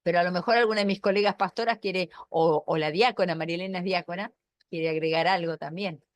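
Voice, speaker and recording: A woman's voice: on the low side, fairly even intonation, quick pace, dry recording. A woman with an Argentine accent, a pastor hosting an online Bible class, explaining in a thoughtful way with fillers and restarts over a video call. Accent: Argentine Spanish.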